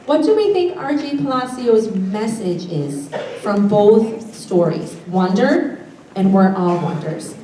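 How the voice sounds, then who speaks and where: A woman teacher addressing young children before a read-aloud, asking simple questions and explaining deliberately. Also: on the high side, darkish tone, far from the mic. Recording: echoey room from afar, faint noise.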